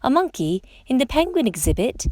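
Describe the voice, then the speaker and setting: A woman reads a children's story aloud in an expressive storytime style. Her pitch moves a great deal, with dramatic emphasis on exclamations and questions. The recording is dry, with hardly any room sound around her voice. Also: a little fast, no room sound. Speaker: storytime reader. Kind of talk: read-aloud children's picture book narration.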